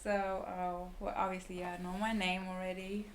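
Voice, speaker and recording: A woman's voice: fairly low pitch, little pitch movement, normal speed. 20s woman w a swedish accent, rambling podcast chat, lotta ums, bit of noise behind. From Liberia, raised in Sweden. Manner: rambling, giggly, lots of fillers. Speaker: podcast guest. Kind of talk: casual chat.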